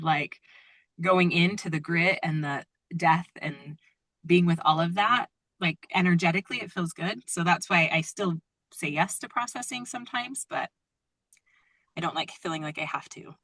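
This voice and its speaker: Bright-voiced woman chatting informally. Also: on the low side, gravelly, no room sound. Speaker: drum-making teacher leading a group call. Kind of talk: casual conversational group call talk.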